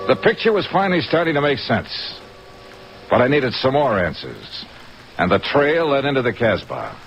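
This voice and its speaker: A hard-boiled American investigator speaks with a lively, swinging delivery. He is sardonic and clipped, in the manner of vintage radio drama, on a muffled recording. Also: mid-range voice, normal speed, gravelly, over music. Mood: wry narration. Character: wry, hard-boiled male insurance investigator. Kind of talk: 1950s radio drama narration and dialogue.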